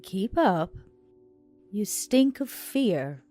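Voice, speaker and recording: A woman voicing a haughty, brisk female vampire who speaks in a cold, commanding way. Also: mid-range voice, very animated, dark tone, faint music behind.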